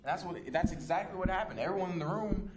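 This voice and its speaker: A man's voice: high-pitched, quick pace. Emphatic young man in an echoey room. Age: young adult. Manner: emphatic, opinionated. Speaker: student news correspondent. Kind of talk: casual panel discussion. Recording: echoey room with clear background noise.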